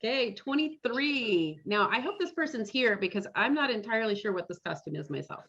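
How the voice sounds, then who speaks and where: A woman's voice: fairly high pitch, expressive, a little fast, fairly bright tone, slightly rough. A woman hosting a casual group game over a video call. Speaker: game host. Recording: muffled video call audio.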